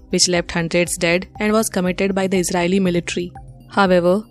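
A woman's voice: medium pitch, a little mellow. Indian-accented woman reading news over music. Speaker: news presenter.

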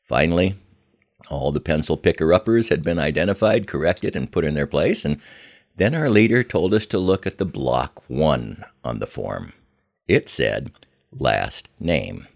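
An older American man telling a scripted first-person story in a measured, reflective manner. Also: a bass voice, big pitch swings, creaky. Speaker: podcast host telling his memoir.

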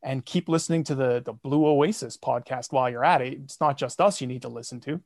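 A man's voice: mid-range voice, brisk, creaky, dry recording, studio quality. A man who makes comedy fiction podcasts, a guest on an interview show, joking breezily as he plugs his show. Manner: jokey, breezy promotional delivery. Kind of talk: casual interview chat.

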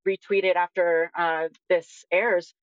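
A woman's voice: fairly low pitch, level tone, measured pace, dry recording, phone-quality sound. A woman in her twenties talking earnestly as a guest in a conversational discussion, often saying "like". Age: 20s. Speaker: guest, detransition advocate.

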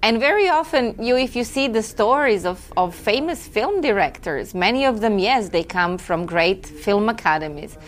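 A woman's voice: mid-range voice, animated, normal speed, few pauses, a little hiss or hum. A woman from Croatia, a guest in an interview, talking in a reflective, chatty way with a few self-corrections. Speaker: guest, film festival director. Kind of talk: relaxed conversational interview.

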